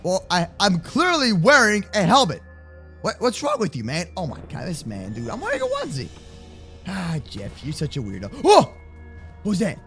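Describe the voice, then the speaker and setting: A young adult man improvising a children's block-game roleplay with excitable, exaggerated, slangy banter. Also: sits high, moderate pace, faint music behind. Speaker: host of kids' gaming roleplay channel.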